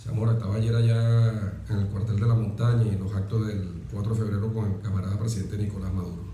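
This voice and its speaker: A man with a mellow voice and a Venezuelan accent speaks in a strongly reverberant room. He talks in a deliberate, oratorical way, leaning on key phrases and repeating them, like a military officer being interviewed. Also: lowish voice, little pitch movement, a little hiss or hum. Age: 40s. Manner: deliberate, emphatic with pauses and repetition. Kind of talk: reflective, oratorical interview talk. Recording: very echoey room.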